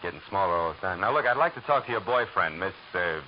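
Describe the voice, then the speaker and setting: An actor playing a wry, hard-boiled private eye who narrates with sardonic wisecracks, in a 1940s radio drama. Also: male voice, low-pitched, lively intonation, quick pace. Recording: noisy lo-fi old radio recording.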